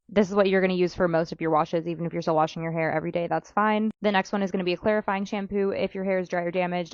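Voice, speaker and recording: A woman speaks in a slightly low voice, rapidly and informally, like a content creator sharing a checklist. She leans on words for emphasis and keeps it conversational. The sound is dry and a little dull, with no room around it. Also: dry recording. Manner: chatty, informal, emphatic repetition. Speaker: hair care content creator. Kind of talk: casual advice to camera, listing recommendations.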